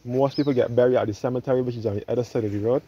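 A man's voice: medium pitch, steady tone, rapid-fire, mellow. A man narrating an informal walking tour outdoors, often repeating and restating his phrases as he explains. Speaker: travel vlogger giving a walking tour. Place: outdoors in a churchyard on a cliff. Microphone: handheld phone camera, held by the speaker. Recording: dull lo-fi outdoor phone audio, background noise.

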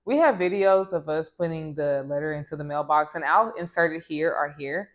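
A woman's voice: low voice, moderate pace. Chatty woman vlogging from home. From American South. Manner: chatty, direct to camera. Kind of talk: casual travel vlog. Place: small home room with plants. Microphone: lapel.

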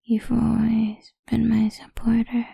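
A woman playing a possessive, seductive goth vampire idol in an intimate ASMR roleplay, breathy and husky, often whispering, slow and teasing, close on the mic. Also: a little high, completely flat delivery, dark tone, dry recording, heavily acted. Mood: coaxing, seductive. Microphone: close studio-style microphone, dry.

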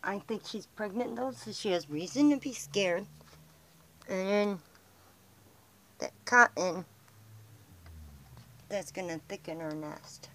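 An American woman filming outdoors on a handheld camera, talking softly to squirrels as she feeds them. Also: mid-range voice, takes it slowly, long pauses, a little hiss or hum. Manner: hushed, chatty, talking to the animals. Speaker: vlogger feeding squirrels. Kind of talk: casual commentary while filming. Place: outdoors by a house, on snowy steps.

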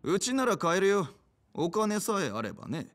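A stubborn male pawnshop clerk, higher-pitched and swinging widely in tone. He sounds flippant, sneering and unyielding, a game cutscene shopkeeper. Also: very animated, normal speed, fairly bright tone. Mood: smug, dismissive. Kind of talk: video game cutscene acting.

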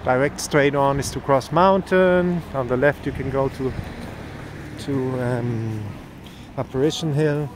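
A man's voice: medium pitch, measured pace. A man with German as his first language, giving a cheerful walking-tour vlog outdoors on a town street in the evening, filmed on a handheld phone. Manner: chatty, upbeat, points things out to viewers. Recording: street noise from passing cars.

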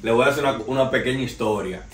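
A man's voice: mid-range voice, fast. A young Dominican man with a Dominican Spanish accent, hosting a casual gossip podcast with loose, slangy storytelling and calling his listeners "señores". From Dominican Republic. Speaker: podcast host. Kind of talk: casual entertainment news commentary. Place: small living room, indoors, seated on a sofa. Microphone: far camera, no mic seen. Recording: roomy echo, distant mic, faint noise.

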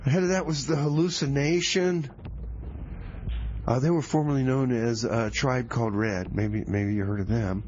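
A man's voice: mid-range voice, steady tone, moderate pace, darkish tone, a little hiss or hum, lightly acted. Man playing a flustered radio DJ, muffled sound. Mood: announcing. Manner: stammering, exasperated, folksy. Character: flustered, put-upon male community radio DJ Rebar. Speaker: radio show host. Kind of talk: comedy radio theater, DJ announcing.

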